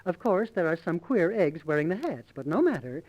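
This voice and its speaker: A man's voice: on the high side, big pitch swings, fairly quick, darkish tone, dull audio. A man playing a gushing, playful lady who teaches parlour tricks in an affected singsong, in 1930s radio comedy. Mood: playful, mock-instructive.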